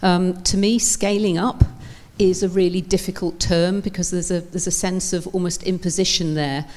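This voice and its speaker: A woman's voice: on the low side, steady tone, normal speed, a little mellow. A woman in her fifties on a conference panel, speaking thoughtfully into a handheld mic. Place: conference stage in a large hall.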